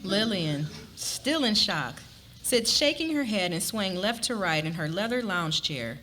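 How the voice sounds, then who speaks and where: A woman's voice: mid-range voice, expressive, unhurried, bright, forceful tone, a little hiss or hum, poor audio. A woman narrating a scripted story as a dramatic reading.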